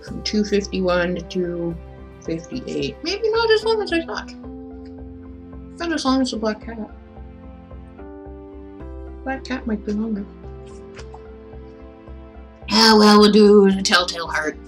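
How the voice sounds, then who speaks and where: A woman streams in a casual, informal way, musing aloud as she goes. Her medium-pitched voice moves slowly and rambles along. Faint music plays behind her. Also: very animated. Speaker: streamer reading stories aloud. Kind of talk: casual livestream chat.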